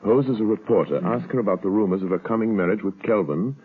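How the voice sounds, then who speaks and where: A composed gentleman detective from a vintage radio play, steady and assured, with a rather low, husky voice. The sound is narrow and tinny, like an old radio broadcast. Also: fast. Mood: calm. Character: calm wealthy male gentleman detective. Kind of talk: 1940s radio acting.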